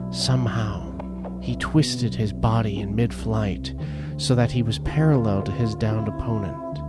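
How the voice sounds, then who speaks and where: A man reading a scripted story as a podcast host, in a dramatic, deliberate and emphatic way. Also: lowish voice. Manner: theatrical, deliberate, emphatic phrasing. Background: prominent background music.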